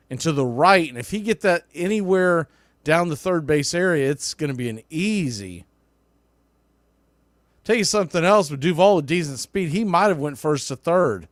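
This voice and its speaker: A man with a fairly high voice works as a baseball announcer in a dry, close sound. He gives live radio commentary in short, clipped phrases, ready to lift his energy with the play. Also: no room sound. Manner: clipped play-by-play phrasing, bursts of excitement on hit balls.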